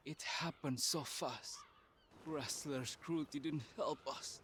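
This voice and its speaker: Slow-spoken dying shipwrecked sailor. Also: male voice, on the high side, a bit bright, slight background noise. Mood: grim recounting. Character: dying shipwrecked sailor, weary and resigned to death. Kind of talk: video game voice acting.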